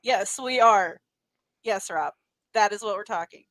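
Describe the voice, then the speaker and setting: A woman's voice: medium pitch, measured pace, a bit bright, no echo. A woman co-hosting a podcast, chatty and giggling often. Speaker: podcast co-host. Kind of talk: casual chat.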